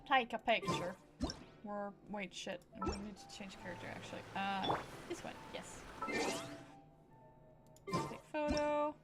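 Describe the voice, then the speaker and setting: A woman's voice: mid-range voice, animated, a little slow, pauses often. A woman streaming a video game with casual commentary, playfully muttering short asides to herself. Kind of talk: casual live gameplay commentary. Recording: game music under the voice.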